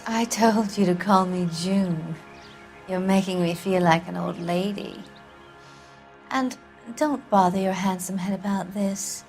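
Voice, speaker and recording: A woman's voice: mid-range voice, a little slow, darkish tone, faint music behind, natural voice. A woman playing a vain, brassy, evasive American film actress of the 1940s in a video game drama, with a haughty, teasing, theatrical delivery. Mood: flirtatious, teasing.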